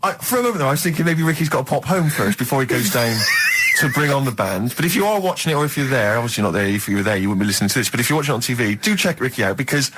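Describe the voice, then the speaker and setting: A man's voice: mid-range voice, animated, rapid-fire, background noise. An English man co-hosting a radio show with casual, teasing comic banter and mock incredulity.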